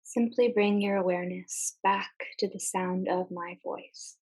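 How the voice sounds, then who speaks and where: Slow-paced woman guiding a meditation. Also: medium pitch, fairly even intonation, low-quality recording. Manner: gentle, unhurried, with long pauses between short phrases. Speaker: meditation guide. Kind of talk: guided meditation, slow and soothing.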